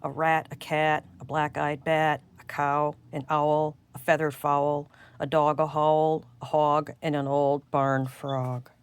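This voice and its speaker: A woman reading a rhyming children's storybook aloud in a singsong, expressive, drawn-out way. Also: deep voice, smooth. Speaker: storybook reader.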